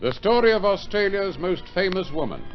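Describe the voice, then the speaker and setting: A man's voice: high voice, very animated, brisk. A man narrating an old radio drama in a scripted, formal, dramatic announcer's manner. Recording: muffled old radio recording over music.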